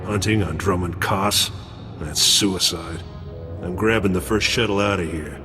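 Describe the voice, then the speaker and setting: A grim veteran bounty hunter speaks in a very deep, gloomy voice. He gives blunt warnings while game music runs underneath. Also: a little slow.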